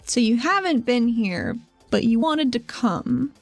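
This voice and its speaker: A woman's voice: fairly high pitch, big pitch swings, a little slow, gravelly, dry recording. A woman playing a tabletop role-playing game, talking casually and partly in character. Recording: faint music under a dry voice. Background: soft background music.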